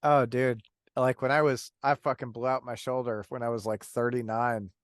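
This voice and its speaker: A man's voice: mid-range voice, level tone, normal speed, clear, resonant tone, no echo, studio quality. A man in his forties hosting a podcast over a remote call, laid-back and swearing constantly in casual interview chat. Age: 40s. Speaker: podcast host.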